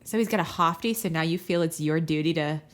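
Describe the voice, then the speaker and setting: A woman's voice: low voice, fairly quick. A woman co-hosting a podcast in casual comedic banter, with giggly, teasing interjections. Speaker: podcast co-host.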